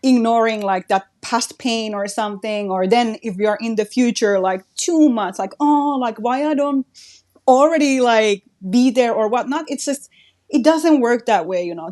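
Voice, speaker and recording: A woman's voice: on the high side, a little slow, a little mellow. A woman from Finland with a Finnish accent, a guest artist talking reflectively in a casual podcast chat, with "like" in nearly every phrase. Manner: reflective, says "like" very often.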